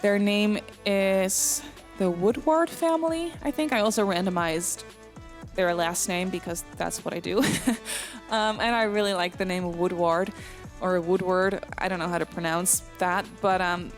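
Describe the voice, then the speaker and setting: A young woman with a Dutch accent, chatting casually over a game build, with frequent ums and soft laughs. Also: lowish voice, unhurried, soft background music. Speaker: Sims builder and YouTuber.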